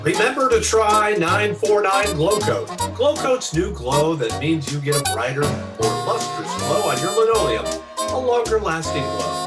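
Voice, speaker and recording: Vintage radio pitchman male actor: high, upbeat, scripted commercial read, off-mic under loud guitar music. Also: distant mic. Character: upbeat 1940s radio sponsor announcer. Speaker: announcer. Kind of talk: 1940s radio commercial announcing.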